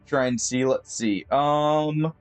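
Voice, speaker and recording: A man streaming a game live, thinking aloud with pauses and fillers and chatting to his viewers. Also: medium pitch, clear, resonant tone, soft background music. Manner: friendly sign-off to viewers. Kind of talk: live gameplay commentary.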